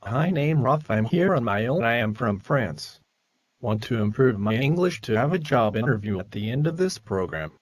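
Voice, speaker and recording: A man's voice: medium pitch, animated, normal speed, natural voice. Earnest male French student, acted by a man. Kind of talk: animated practice self-introduction.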